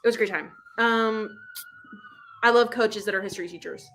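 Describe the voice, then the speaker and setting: A woman's voice: fairly high pitch, moderate pace, fairly bright tone, a little hiss or hum. A woman hosting a casual live book club chat, talking in an informal, slangy, rambling way. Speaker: live show host.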